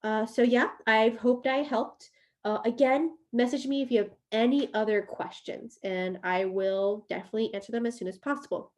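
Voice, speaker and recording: A woman's voice: on the high side, moderate pace, a little mellow. A woman giving a recorded chemistry lecture while drawing, explaining step by step, her voice a little worn from coughing. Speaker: chemistry teacher.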